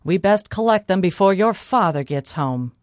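A storybook giantess and mother played by a woman, bossy yet gullible. She exclaims briskly with lively, swinging pitch, in a dry, close sound. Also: no echo, natural voice. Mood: puzzled, bustling.

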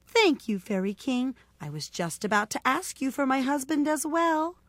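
Woman performing a high-pitched, pleading, clever baker woman. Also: very animated, normal speed, no echo. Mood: sweetly polite. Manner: imploring, courteous, artful. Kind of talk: storybook character voice.

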